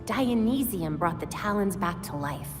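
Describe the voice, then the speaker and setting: Focused American heroine, reverberant. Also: female voice, lowish voice, animated, unhurried. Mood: inquisitive, focused. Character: sharp, focused young female vigilante investigator. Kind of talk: video game cutscene acting. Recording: long reverb, music behind.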